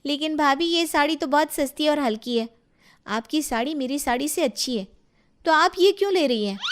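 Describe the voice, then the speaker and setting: A woman voicing a sweet, eager young daughter-in-law in an animated moral story, with a pleading, girlish, chatty manner. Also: high voice, normal speed, pitched above the performer's own voice. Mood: unsure, asking.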